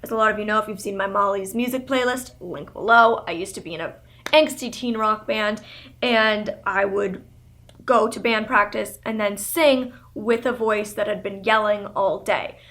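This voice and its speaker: A woman's voice: mid-range voice, normal speed. A young woman vlogger and motivational speaker telling a personal story to camera in a chatty, projecting way, with many filler "like"s. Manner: loud, projecting talker.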